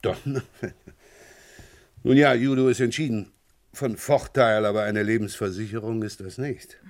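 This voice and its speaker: Calm french police inspector boss, polite and measured, old-school radio crime drama. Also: male voice, fairly low pitch. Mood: concerned, courteous. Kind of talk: German radio crime play acting.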